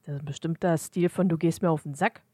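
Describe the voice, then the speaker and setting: A woman's voice: sits low, fairly even intonation, quick pace, warm tone, no echo, studio quality. A woman bantering casually as a podcast co-host in a blunt, teasing way.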